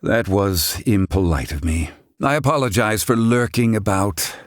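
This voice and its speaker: A man's voice: lowish voice, lively intonation, measured pace, no room sound, clean studio sound. An actor playing a proud, grumpy, brainy older man in a video game, with pompous, blustering, wordy old-fashioned diction. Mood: gruff, composed. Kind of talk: dramatic English-dub game acting.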